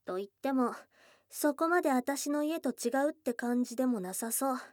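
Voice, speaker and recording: A woman voicing a shy, affectionate young goblin girl in a Japanese ASMR voice drama, with casual tomboyish speech and a soft, hesitant delivery. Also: high-pitched, expressive, brisk, no echo, studio quality. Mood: curious, teasing. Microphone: close studio mic, dry.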